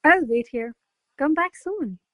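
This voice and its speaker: A woman acts out a jealous, imperious lady of rank in a classic radio play. Her voice is on the high side, and she orders and questions with haughty formality. The sound is close and dry. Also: expressive, slow, pushed and bright, no room sound, heavily acted. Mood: commanding. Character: imperious, jealous noble lady, probing and commanding. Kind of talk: stage verse drama read as radio play.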